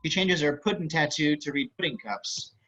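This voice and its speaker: A man's voice: on the high side, normal speed, bright, forceful tone. A man reading stage and screen directions aloud as scripted narration. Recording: muffled online call audio.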